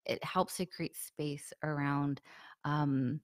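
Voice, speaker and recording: Low-voiced woman with a sore throat. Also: slow pace, no echo, studio quality. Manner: soft, relaxed, many fillers; drops to a breathy near-whisper. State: sore throat, slightly hoarse. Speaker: meditation guide. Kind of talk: guided meditation with a live group.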